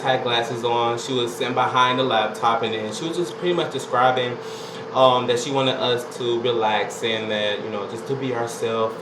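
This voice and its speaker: A young man tells his story in a casual, rambling vlog style, leaning on "you know" and "like" as he goes. His voice sits at an ordinary pitch for a man. The room is echoey, and a steady air conditioner hum runs clearly underneath. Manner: laid-back, chatty, lots of "you know" and "like". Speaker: vlogger.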